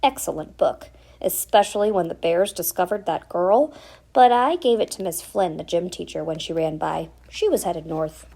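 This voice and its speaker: A woman's voice: fairly low pitch, animated, moderate pace, gravelly, warm tone. Woman playing a keen, studious boy scout. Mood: pleased informative.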